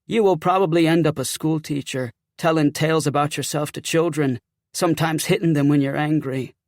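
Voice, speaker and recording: A man plays a dead young officer's ghost in a period audiobook. He taunts with a sardonic Celtic lilt, casual and gravelly, very close and dry. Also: on the high side, fairly even intonation, a little fast, no echo, close to an everyday voice. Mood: cold, taunting. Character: mocking ghost of a slain young Union officer. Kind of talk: audiobook character acting.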